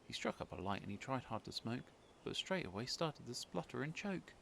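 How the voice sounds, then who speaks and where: A man narrating a children's storybook in an expressive read-aloud style, with faint noise behind. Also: mid-range voice, moderate pace, gravelly.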